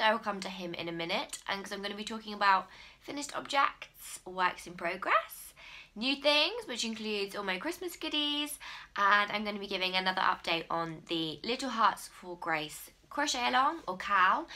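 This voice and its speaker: This is a croaky-voiced English woman in her thirties, talking straight to the camera at home. Her voice is bright and lively, with a somewhat high pitch, and she chats in a friendly way with lots of ums. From England. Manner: chatty and friendly, many ums. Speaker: crochet podcast host. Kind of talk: casual solo video podcast.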